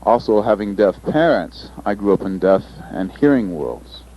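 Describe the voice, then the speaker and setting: A man giving a presentation as an interpreter, speaking thoughtfully with pauses and fillers. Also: on the low side, animated, a little hiss or hum. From United States. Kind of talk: recorded panel presentation. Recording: dull lo-fi recording, faint ambience.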